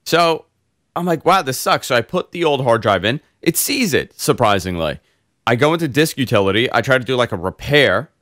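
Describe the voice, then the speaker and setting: Man, host, hoarse, congested, rambling storyteller, average pitch, dry sound. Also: normal speed, no echo. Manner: rambling, animated storyteller with many fillers. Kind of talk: casual podcast chat.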